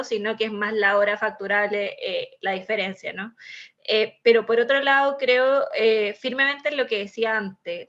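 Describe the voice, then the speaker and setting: A woman with a fairly high voice and a Chilean accent explains her views as an in-house lawyer on a panel. She talks in a discursive way, full of "eh" fillers and restarts. The sound is somewhat muffled and lo-fi. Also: a bit bright. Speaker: in-house legal manager, panelist.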